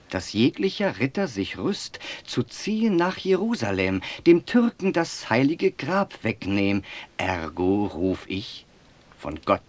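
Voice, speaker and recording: A man playing a stern, zealous monk-knight who proclaims a crusade in solemn rhymed radio-play verse. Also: fairly low pitch. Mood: commanding, zealous.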